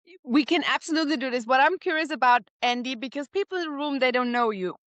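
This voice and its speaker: Woman host on a video call. Fairly high voice, dry close sound. Asks curious, encouraging questions. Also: fast talker, a bit bright, dry recording. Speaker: interview host. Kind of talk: casual interview talk.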